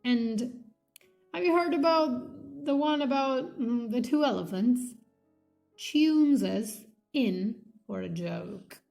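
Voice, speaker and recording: A woman with a somewhat high voice reads an academic text aloud. Her delivery is slow and measured, halting slightly between phrases. Faint music plays behind her. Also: lively intonation, vocal fry, darkish tone. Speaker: reader of a linguistics textbook. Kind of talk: read-aloud textbook narration.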